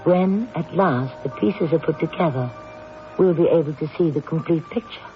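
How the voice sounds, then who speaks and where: Male mystery narrator, fairly high voice, measured, theatrical, philosophical, dull old radio recording with faint hiss. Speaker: host, narrator.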